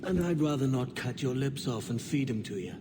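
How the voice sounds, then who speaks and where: An actor playing a brash, roguish pirate captain in a video game cutscene, talking in a cocky, sly, informal way. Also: male voice, mid-range voice, animated, fairly quick, natural voice. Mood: menacing, sly. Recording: clearly noisy outdoor ambience behind voice.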